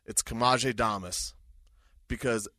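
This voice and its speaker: A man's voice: medium pitch, steady tone, takes it slowly, pushed and bright, dry recording. A man co-hosting a sports podcast, trading jokes and playful banter. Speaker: podcast co-host, hockey show host. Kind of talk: casual sports chat.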